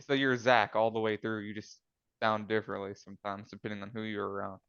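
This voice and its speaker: A man's voice: on the low side, level tone, fairly quick, a bit bright, smooth, no echo, highs cut off. A young man co-hosting a casual podcast, laid-back and teasing. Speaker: podcast co-host. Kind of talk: casual chat.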